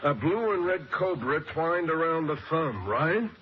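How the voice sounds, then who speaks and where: An actor playing a plain-spoken American homicide sergeant in a 1940s radio drama, blunt and folksy. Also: male voice, fairly high pitch, animated, unhurried, husky. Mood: prodding. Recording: muffled, noisy old radio recording.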